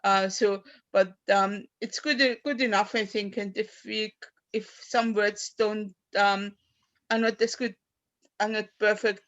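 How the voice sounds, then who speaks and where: A woman in her fifties, a native German speaker from Austria, talks haltingly about her life as a poet, with many fillers and restarts. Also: medium pitch, fairly even intonation, a bit bright. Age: 50s. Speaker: poet at an open mic. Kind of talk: personal talk and poetry reading. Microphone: video call. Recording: dull lo-fi video call audio.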